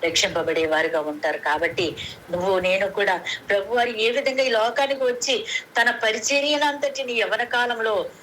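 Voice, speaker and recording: Noisy conference call, woman w preaching, sharp forceful voice, repeats phrases to drive it home. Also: fairly high pitch, steady tone, normal speed. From Andhra Pradesh, India. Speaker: lay preacher. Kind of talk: sermon, retelling scripture to a prayer meeting. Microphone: conference call phone.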